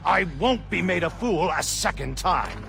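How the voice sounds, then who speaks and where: An actor playing a genteel, cold villain in a video game, pompous and mock-polite. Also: male voice, sits high, expressive, a little slow, vocal fry, noisy background, exaggerated voice. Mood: cold disdain. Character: genteel, cold, haughty male villain, extortionist. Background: game music.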